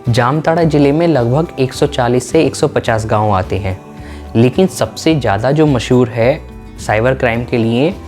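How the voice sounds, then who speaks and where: A man's voice: mid-range voice, moderate pace, a little mellow. Male explainer narrator over music. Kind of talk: explanatory documentary-style narration.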